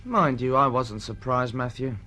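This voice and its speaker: An actor playing a pompous, tactless, self-satisfied husband who lectures in stiff jargon, in a radio drama. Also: male voice, medium pitch, lively intonation, moderate pace, sharp tone. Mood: smug, lecturing.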